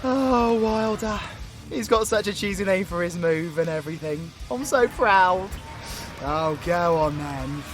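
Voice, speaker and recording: A man's voice: high-pitched, animated, a little slow, faint music behind. A British man voicing a cocky, crude warlock supervillain in an audio roleplay, full of mocking, sweary, theatrical banter. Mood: amused. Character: cocky, crude, taunting male warlock supervillain, gleefully playful.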